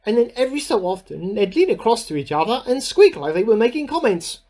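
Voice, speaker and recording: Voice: medium pitch, big pitch swings, quick pace, a little mellow. An actor in a radio play playing a bemused amateur scientist who keeps a spoken diary, musing aloud in an earnest, slightly formal and self-questioning way. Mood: puzzled musing. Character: bemused amateur scientist keeping an audio diary, increasingly suspicious.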